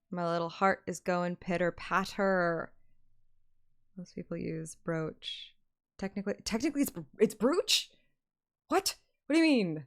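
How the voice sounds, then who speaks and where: A woman streamer narrating a game story in an audiobook style, with casual asides. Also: lowish voice. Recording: reverberant, music under the voice.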